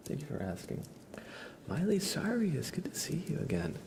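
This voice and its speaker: A man with a congested, stuffy nose chats casually with viewers as an ASMR livestream host, hushed and often whispery. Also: on the high side, huge pitch swings, normal speed, gravelly, noisy background.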